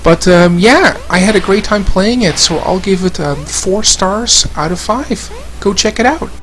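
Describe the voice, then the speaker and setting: Casual male reviewer, slightly high voice, hesitant and loose. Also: unhurried, a bit bright, faint music behind. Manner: hesitant, lots of ums. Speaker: game reviewer.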